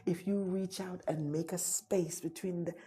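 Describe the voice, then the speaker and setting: A woman's voice: lowish voice, steady tone, moderate pace, mellow, breathy edge. A middle-aged woman with a Jamaican accent hosting a motivational live talk, urgent and repetitive, dropping to whispers for emphasis.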